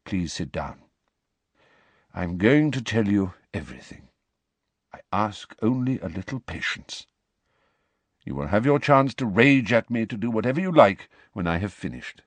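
A man's voice: fairly low pitch, animated, no echo. Man acting a weary, grave old wizard. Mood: solemn. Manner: quiet, measured, grave. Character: calm, weary, wise old male wizard headmaster. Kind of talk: dramatic audiobook character reading.

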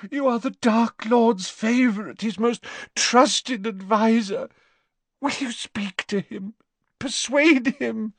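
A man's voice: very high voice, takes it slowly, fairly bright tone, no echo, voice pushed up, full character voice. A man voicing a distraught middle-aged mother who pleads through sobs. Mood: pleading. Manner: sobbing, desperate pleading. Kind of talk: audiobook character reading.

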